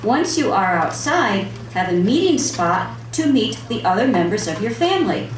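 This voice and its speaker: Woman as a measured safety helper, very echoey. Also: medium pitch, sing-song, lightly acted. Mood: calm, instructive. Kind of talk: scripted children's safety show. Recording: very echoey room, distant, faint noise.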